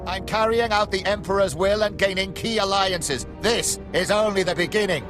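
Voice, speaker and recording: A man's voice: sits high, normal speed, natural voice. A man playing a cruel, arrogant Imperial commander in a video game, haughty and menacing in his declamation. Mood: gloating, fanatical. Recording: music behind the voice.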